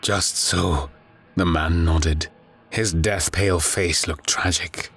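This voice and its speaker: Low, even-toned male audiobook narrator. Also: slow pace, husky. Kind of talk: scripted dramatic horror narration.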